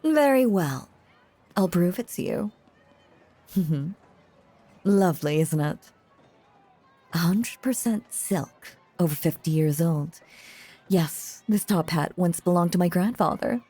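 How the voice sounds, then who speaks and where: A woman playing a seductive, possessively doting female stage magician in an intimate audio roleplay, theatrical and teasing with a soft, breathy voice. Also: lowish voice, lively intonation, takes it slowly, pauses often, soft background music. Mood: playful showmanship. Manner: theatrical, flirtatious, silky and teasing.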